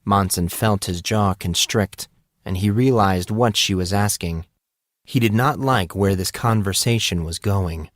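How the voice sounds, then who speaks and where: A man giving scripted audiobook narration in a measured, expressive storytelling manner. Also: on the low side, no room sound, studio quality.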